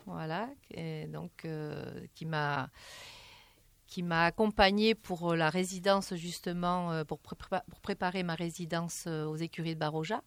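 A woman singer answering radio interview questions in a halting way, with many fillers and restarts. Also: lowish voice, steady tone, smooth, no echo. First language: French.

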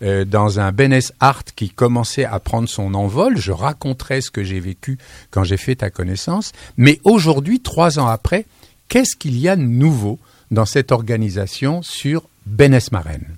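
Animated male radio host, chatty delivery. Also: mid-range voice, normal speed, no room sound, studio quality. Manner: chatty, digressive, exclamatory. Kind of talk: lively radio show presenting and interviewing. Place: radio studio. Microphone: studio broadcast microphone.

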